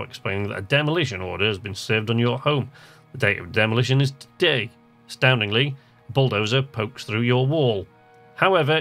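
A man's voice: medium pitch, fairly bright tone. A man casually commentating on a game he plays, chatting and muttering to himself and reading on-screen text aloud. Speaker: let's play host.

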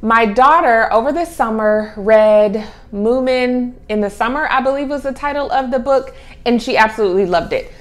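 A middle-aged woman with a fairly high voice talks casually to camera as a vlog host. She presents like a mother sharing children's books, leaning on key words and repeating some for emphasis. She is recorded on a clip-on mic in a small room at home. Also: normal speed. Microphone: clip-on lapel mic.

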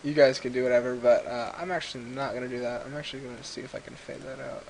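A man hosts a software tutorial in a casual, rambling way. His pitch is average for a man. He is on a computer mic set a little away, with clear hiss over the recording. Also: fairly even intonation, quick pace, rough recording. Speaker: tutorial host. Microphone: computer mic a little far away.

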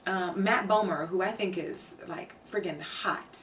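A young woman with a hoarse, tired voice, chatting casually with her viewers on a home live stream and filling her talk with "like" and "um". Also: lowish voice, measured pace, a bit bright, recorded from a distance, a little hiss or hum. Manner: chatty, reads out chat names, many fillers. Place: home streaming room with shelves.

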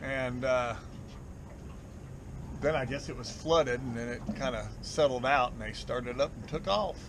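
A man's voice: mid-range voice, measured pace. A middle-aged man with a Southern US accent, a charter fishing captain chatting casually and jokingly with salty language out on a boat. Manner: folksy, salty-tongued joking. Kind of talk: casual chat while fishing. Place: outdoors on an open fishing boat at sea. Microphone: action camera on the boat. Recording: outdoor boat and engine noise.

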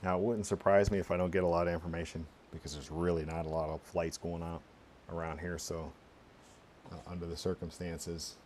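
A man giving a casual, unscripted screencast walkthrough, halting and muttering as he thinks aloud through each step. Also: low-pitched, mellow, a little hiss or hum. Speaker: tutorial presenter.

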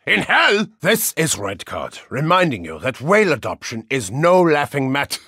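Brash German hooligan game hero, German accent, raspy, forceful, bombastic, hot-tempered. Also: male voice, on the high side, sing-song, moderate pace, no echo, studio quality, full character voice. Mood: grudging. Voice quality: gravelly, raspy. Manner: bombastic, theatrical, swinging from growl to shout. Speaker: video game voice actor. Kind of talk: video game character voice lines.

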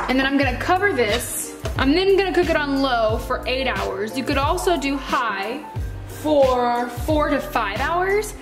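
An American woman chattily walking through recipes step by step in a casual home cooking demonstration. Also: on the high side. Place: home kitchen, indoors. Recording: echoey kitchen with music behind. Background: music.

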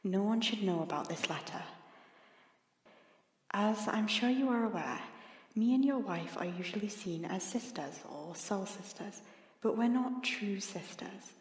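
A young woman playing an earnest princess who confides a secret, reading a letter aloud in a measured, sometimes breathy way for an audio story. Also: mid-range voice, animated, a little mellow, close to an everyday voice. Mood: confiding. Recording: echoey, long reverb, hobby audio drama.

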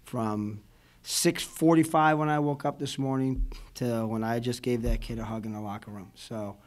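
Rambling middle-aged coach at a press conference. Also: male voice, medium pitch, steady tone, moderate pace. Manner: rambling, emotional, many fillers like "you know what I mean". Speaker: college basketball head coach. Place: indoor press room before a logo backdrop. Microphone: table mic in front of him.

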